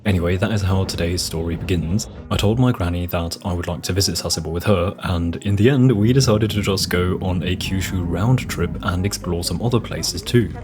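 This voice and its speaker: A young man from Austria narrating a travel vlog voice-over in a relaxed, friendly storytelling way with light self-deprecating humor. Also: sits low, brisk, few pauses. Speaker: travel vlogger.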